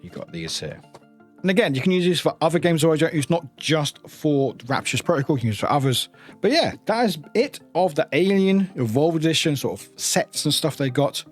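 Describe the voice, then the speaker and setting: A man's voice: a little high, very animated, a little fast, faint music behind. A man giving a casual, enthusiastic, rambling unboxing commentary as a review host.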